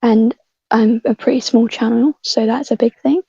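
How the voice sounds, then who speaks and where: Female guest, casual chat, dark mellow tone, fairly high, muffled lo-fi mic. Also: fairly even intonation, normal speed, creaky, slightly rough, dry recording. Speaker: voice actor guest.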